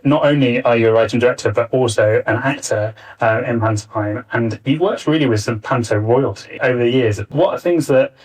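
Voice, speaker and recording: Husky man chairing a panel, distant mic. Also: medium pitch, brisk, hardly any pauses, a little mellow. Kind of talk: moderating a live panel, posing questions. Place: arts centre stage, live panel. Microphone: distant backup recorder. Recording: distant mic, uneven backup recording.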